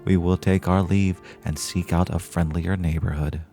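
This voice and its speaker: A man voicing a serene, kindly elder male fairy who speaks gently in an audio drama. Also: low voice, steady tone, normal speed, creaky, soft background music, voice pushed down. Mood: gentle reproach. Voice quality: gentle, kind.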